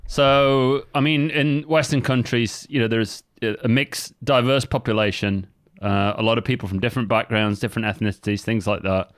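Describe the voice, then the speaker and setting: A man's voice: mid-range voice, steady tone, moderate pace, hardly any pauses, clear, resonant tone, no room sound. Easygoing guy on a podcast, talks things through with lots of "you know", dry mic. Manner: easygoing, explanatory, many fillers. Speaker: podcast co-host. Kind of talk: casual chat.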